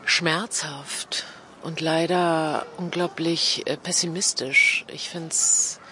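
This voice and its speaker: A woman's voice: deep voice, fairly even intonation, slow pace, noisy background. A middle-aged woman from Berlin giving earnest, reflective interview answers, repeating words for emphasis. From Berlin, Germany. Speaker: interview guest.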